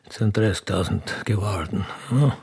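Man playing a dithering, gravelly Austrian imperial secretary. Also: lowish voice, moderate pace, darkish tone. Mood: muddled, resigned. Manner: hesitant muttering, spelling out as he writes.

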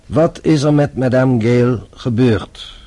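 A man acting in a radio play as a French police inspector, formal, patient and probing, with deliberate phrasing. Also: medium pitch, warm tone, natural voice. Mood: insistent.

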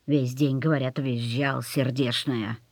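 A woman's voice: rumbling low, steady tone, measured pace, no echo, clean studio sound, heavily acted. A woman playing a sleepy, pitying peasant nanny with a folksy, muttering manner in an audio play. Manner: folksy, plain, sorrowful muttering.

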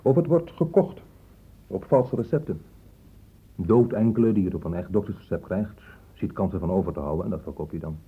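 A blunt police inspector in a radio play, sarcastic and brusque. The voice sits fairly low with a husky grain, heard through a thin telephone-like band. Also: male voice, animated, fairly quick, exaggerated voice. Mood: matter-of-fact. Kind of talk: Dutch radio drama acting. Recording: muffled phone-like old radio recording.

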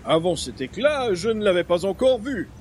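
Homme jouant un personnage comique à voix aiguë. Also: male voice, high-pitched, big pitch swings, moderate pace, a little mellow, slight background noise. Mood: puzzled. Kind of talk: old-time radio comedy acting.

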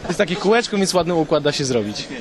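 Higher-voiced man chatting outdoors in a crowd. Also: lively intonation, fast. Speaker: podcast participant. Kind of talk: casual joking chat. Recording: noisy outdoor street crowd behind.

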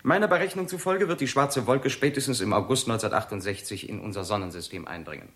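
An actor playing a sober, methodical astronomer in a 1960s German radio play, with a deliberate, formal and analytical delivery. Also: male voice, mid-range voice, a bit bright, lightly acted. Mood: measured, grave. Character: methodical, sober male astronomer calculating a threat.

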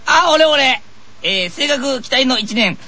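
Loud cocky little anime schoolboy, high n strained, kinda hoarse, shouty. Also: male voice, expressive, slow pace, heavily acted. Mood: brash, excited. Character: brash, eager schoolboy tennis first-year, loud and cartoonish.

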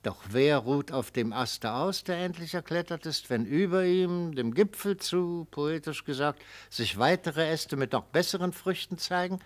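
A florid, gleeful old prosecutor in a German radio play. His voice sits a little high and moves in big, theatrical swoops. He orates slyly, relishing each turn of phrase. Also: lively intonation, unhurried, hardly any pauses. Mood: dramatic, insinuating. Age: older.

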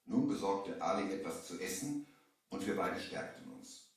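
A shaken merchant narrating a ghostly sea adventure in a 1970s radio play. His voice is fairly low and dramatic. It sounds distant and heavily echoed, like an old vinyl record. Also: animated, moderate pace. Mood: grave, suspenseful. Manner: dramatic, storytelling. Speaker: narrator and lead in an audio drama.

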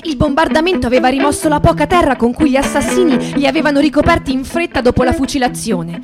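A woman acting in poetic radio theatre as a wondering, solemn storyteller, with a measured, lyrical delivery. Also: high-pitched, lightly acted. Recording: guitar music behind the voice.

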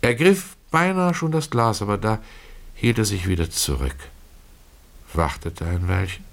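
A man narrating a literary radio drama in the first person, expressive and deliberate, with telling pauses. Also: on the low side, vocal fry.

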